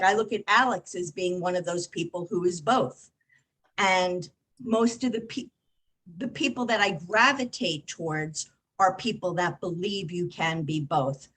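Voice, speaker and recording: A woman in a group discussion, speaking in a reflective, measured way. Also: lowish voice, a bit bright. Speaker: discussion participant.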